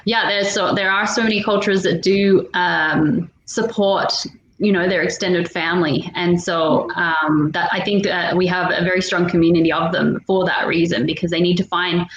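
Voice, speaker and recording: A woman's voice: lowish voice, steady tone, moderate pace, a bit bright, slight background noise. A woman from Victoria hosts a casual online meetup, upbeat and encouraging with every guest. Her voice comes through a video call that sounds roomy, with muffled, lo-fi audio. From Victoria, Australia. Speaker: host.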